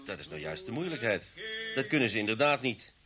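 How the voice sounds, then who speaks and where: A brisk, businesslike police inspector in an old radio drama, played by a man. His delivery is dry and his pitch sits in the middle, with a busy bed of voices behind him. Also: sing-song, a crowd in the background, natural voice. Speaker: actor in a radio play. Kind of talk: Dutch vintage radio play acting.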